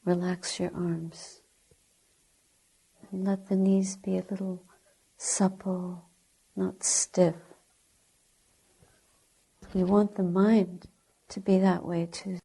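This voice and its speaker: A woman teaching guided meditation in a soft, breathy, gentle way, speaking in short deliberate phrases with long pauses. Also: on the low side, level tone, low-quality recording. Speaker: Buddhist nun, meditation teacher.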